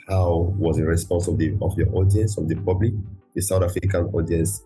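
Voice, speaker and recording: A male podcast host with a low, dark and husky voice. He poses questions to his guest and leans on "you know" as a filler. Faint music runs underneath. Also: little pitch movement, fairly quick. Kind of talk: interview questions.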